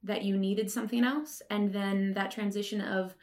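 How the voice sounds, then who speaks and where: A woman hosting a conversational interview in a medium-pitched voice. She phrases things informally and keeps encouraging and reassuring the person she is talking with. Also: steady tone, moderate pace, darkish tone. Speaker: podcast host interviewer.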